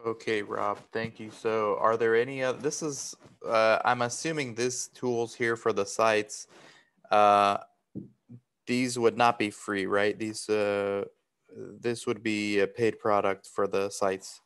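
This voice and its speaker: A man's voice: on the low side, fairly even intonation, takes it slowly, a bit bright, clean tone. A man from Romania, raised in the US, moderating a webinar in an informal, chatty way with questions and thanks. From born in Romania, raised in the US from age three. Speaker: webinar host.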